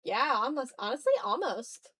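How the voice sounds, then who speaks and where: A tired woman chatting casually and rambling in a low-key way as she hosts a live art stream and draws. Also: animated, normal speed, bright, forceful tone, breathy edge. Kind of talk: casual livestream chat while drawing.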